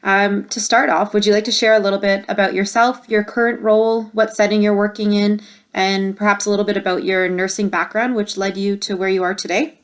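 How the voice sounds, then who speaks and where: A woman's voice: mid-range voice, flat delivery, brisk. Woman hosting a podcast interview. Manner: friendly, uses many fillers. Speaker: podcast host.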